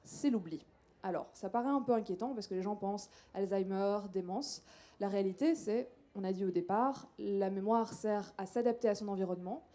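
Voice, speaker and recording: A woman's voice: mid-range voice, dark tone. A woman from Belgium with a Belgian French accent, giving a public science talk and addressing the audience directly with everyday examples.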